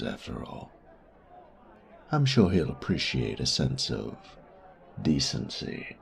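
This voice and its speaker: A man playing a menacing, sadistic bandit in an audio roleplay, crude and mocking, with a gravelly, taunting drawl. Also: extremely low pitch, heavily acted. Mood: sardonic, casual. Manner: taunting, drawling, drops to breathy murmurs. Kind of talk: audio roleplay drama acting.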